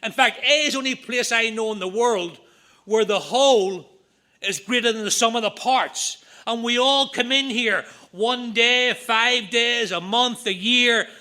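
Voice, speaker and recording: A middle-aged man with a Northern Irish accent, giving an earnest talk at a recovery meeting with quick asides. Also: extremely high pitch, bright, forceful tone. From Northern Ireland. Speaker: AA speaker. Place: meeting room.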